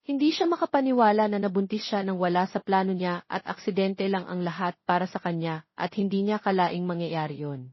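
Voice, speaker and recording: A woman's voice: mid-range voice, brisk, dry recording, highs cut off. A woman reading a scripted romance story as its narrator, close to the microphone.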